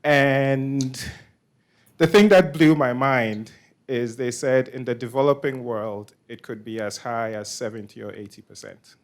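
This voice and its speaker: Halting male meetup speaker from Nigeria. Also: medium pitch. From Nigeria, West Africa. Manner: halting, repeats words and restarts often. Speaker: meetup organizer and host. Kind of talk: informal presentation to a meetup.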